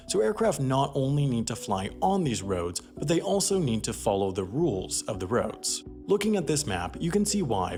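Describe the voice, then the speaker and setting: A man delivers scripted documentary narration with a highly animated pitch that rises and falls through each sentence. His explanatory phrasing is emphatic, and music plays softly behind him. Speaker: narrator and host. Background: background music.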